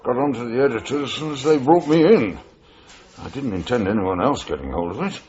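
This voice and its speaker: An actor playing a sly, self-satisfied British freelance journalist in a 1980s radio drama, evasive in manner. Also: male voice, medium pitch, animated, fairly quick, a little mellow, natural voice. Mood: smug, amused. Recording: dull, band-limited radio broadcast.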